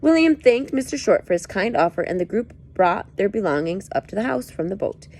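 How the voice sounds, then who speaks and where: Reader reading a book chapter aloud, lively up-and-down melody, playful asides. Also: female voice, mid-range voice, fairly quick.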